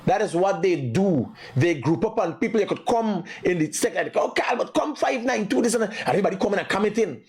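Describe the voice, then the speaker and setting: Man, Guyanese accent, high pitch, live commentary, emphatic, repetitive, accusatory. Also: lively intonation, a little fast, few pauses, a little mellow, breathy edge. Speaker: live morning show host.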